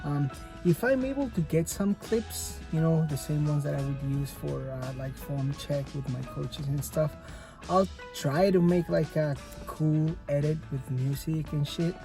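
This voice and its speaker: A man's voice: a little high, unhurried. A man in his twenties, a native Spanish speaker, chatting casually in a fitness vlog, hesitant and self-mocking, full of fillers and restarts. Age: 20s. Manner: dry, self-mocking humor. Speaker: fitness vlogger. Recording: music playing under the voice.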